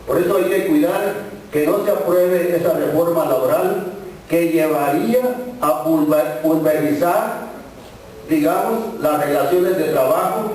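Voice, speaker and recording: Man, union rep addressing a forum, earnest, fairly high voice, echoey hall, far from mic. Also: a little mellow. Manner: measured, earnest speechmaking with fillers. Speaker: union representative of farm workers. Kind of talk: speech at a public forum. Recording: echoey room, voice from far off, faint noise.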